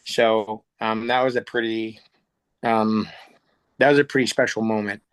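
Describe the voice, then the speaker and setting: American man, halting plain-spoken interview guest. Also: on the low side, level tone, clear, resonant tone, low-quality recording. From United States. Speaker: interview guest, air show pilot. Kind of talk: casual reflective interview talk.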